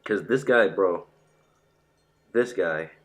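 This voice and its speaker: A man streaming live about a fighting game, rambling and excitable, sounding stuffy from a sore throat. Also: deep voice, slow pace. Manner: excitable, rambling, says "bro" a lot. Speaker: gaming streamer.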